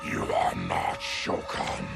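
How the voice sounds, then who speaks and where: A man voicing a brutish, suspicious monster warrior with a gravelly growl in a video game cutscene. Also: slow, loud music behind the voice, lightly acted. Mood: menacing suspicion.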